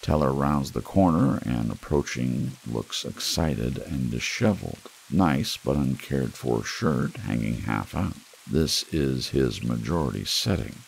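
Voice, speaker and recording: Very deep, gravelly man narrating dark fiction. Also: big pitch swings, unhurried, few pauses, a little hiss or hum, rough recording. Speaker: audiobook narrator. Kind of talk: scripted noir fiction narration for ASMR.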